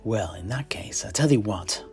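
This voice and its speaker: A man's voice: lowish voice, animated, moderate pace, darkish tone. Man playing a courteous, bookish fantasy tavern keeper. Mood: hospitable. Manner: polite, wordy, scholarly. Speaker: host. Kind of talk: in-character fantasy audio roleplay. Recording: music behind the voice.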